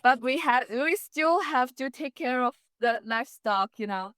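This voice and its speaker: A young woman from Inner Mongolia, a native Mongolian speaker, talking as a podcast guest and pausing often with "uh". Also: high voice, lively intonation, a bit bright, dry recording, clean studio sound. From Inner Mongolia, China. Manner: hesitant, many "uh" pauses, laughs lightly. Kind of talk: casual interview talk.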